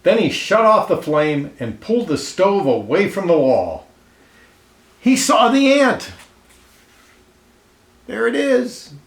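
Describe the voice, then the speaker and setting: A man reading a children's picture book aloud expressively, pausing to comment and ask listeners questions, in an echoey room. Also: high-pitched, huge pitch swings, gravelly. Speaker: storybook reader. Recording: roomy, echoey indoor sound.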